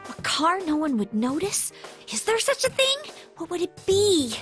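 Game role: teen girl sleuth, high, bouncy intonation, earnest, inquisitive; music underneath. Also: normal speed, full character voice. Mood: curious. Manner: questioning, expressive. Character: perky, earnest teenage girl amateur sleuth. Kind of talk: video game voice acting.

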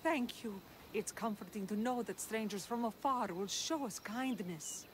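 An actress playing a solemn, grieving older village leader in ancient Greece, in a video game. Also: female voice, fairly high pitch, a little slow, slight background noise, close to an everyday voice. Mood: grateful.

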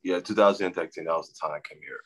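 A young man from Liberia with a Liberian accent, talking casually as a guest on a video call, halting and often repeating his words. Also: deep voice, fairly even intonation, fairly bright tone, breathy edge. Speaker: guest, soccer player. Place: room at home. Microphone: webcam on video call.

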